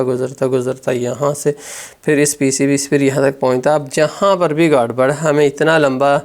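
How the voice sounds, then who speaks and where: A man narrates a practical tutorial. His voice sits slightly high and has a gravelly creak. He explains each step in turn, often repeating his instructions. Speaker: phone repair tutorial instructor.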